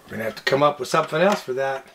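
A man's voice: mid-range voice, animated, racing, creaky. Middle-aged man, noisy handheld recording. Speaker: DIY bus builder. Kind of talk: casual hands-on chat while working. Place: small converted bus interior. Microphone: camera mic from a short distance. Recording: noisy handheld camera audio with clatter.

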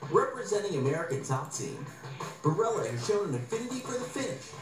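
Casting: male fight announcer, lively pitch, distant TV sound with loud music. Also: fast talker, echoey room. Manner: dramatic announcer delivery. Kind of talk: scripted broadcast narration. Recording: TV broadcast heard from a distance.

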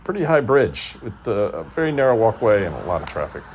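A man in his fifties narrating a sailing vlog in a relaxed, wry, understated way. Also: fairly low pitch, brisk, a little hiss or hum. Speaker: sailing vlogger. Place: sailboat deck outdoors.

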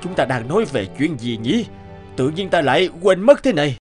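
A man's voice: fairly high pitch, big pitch swings, normal speed, loud music behind the voice. A man voicing an old, trusting king in a fairy tale cartoon, regal and dramatic in manner. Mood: confused. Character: old, trusting king, doting yet quick to anger.

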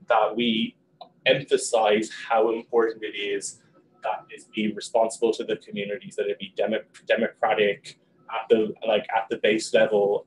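A young man speaking quickly on a panel, with many ums and likes, in a small meeting room and recorded by a camera on a video call. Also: lowish voice, slight background noise, low-quality recording. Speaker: panel guest. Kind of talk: panel discussion.

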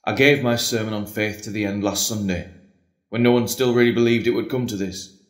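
A man playing an earnest, patient priest hearing confession in an audio drama, measured and consoling. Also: lowish voice, steady tone, natural voice. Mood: solemn, patient. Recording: echoey room.

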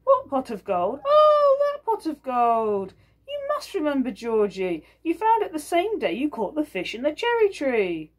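A woman's voice: high voice, huge pitch swings, a little slow, pitched above the performer's own voice, heavily acted. A woman doing Anna, a chatty shrewd wife. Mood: rattling. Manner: rattling, talkative.

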